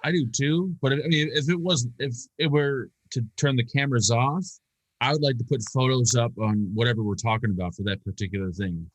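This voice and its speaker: A man's voice: medium pitch, steady tone, a little fast, dry recording, rough recording. Teasing man, dry close mic. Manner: joking, teasing banter. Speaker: co-host of a live vape show. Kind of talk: casual live-stream chat.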